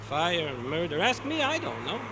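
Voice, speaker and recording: A man's voice: a little high, huge pitch swings, measured pace, heavily acted. A man playing a wry, exasperated shopkeeper in an old-time radio play, with clipped, sarcastic phrasing. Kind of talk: old-time radio comic character acting. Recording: noisy live meeting room recording.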